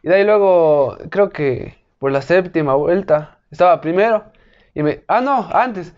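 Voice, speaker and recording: A man's voice: sits high, expressive, unhurried, highs cut off. A young man, telling a race story in an eager, rambling way. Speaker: guest rider. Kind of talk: casual chat.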